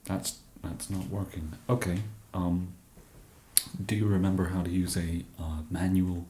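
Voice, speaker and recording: An English man in an ASMR roleplay as a shop genius, speaking softly and hesitantly with many fillers and a dry, self-deprecating humour. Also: deep voice, level tone, unhurried, echoey room, a little hiss or hum. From England.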